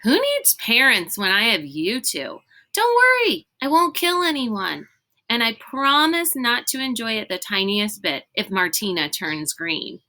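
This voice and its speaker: A breezy, confident storybook girl, acted out by a woman reader, with a bright voice lifted a little above narration. She is flippant and teasing with her friends. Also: a little high, very animated, measured pace, voice pushed up, full character voice.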